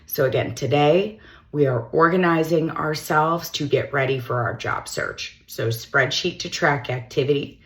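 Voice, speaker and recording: A middle-aged woman recruiter with a slightly hoarse voice, giving direct, matter-of-fact job-search advice straight to the camera. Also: low-pitched, unhurried.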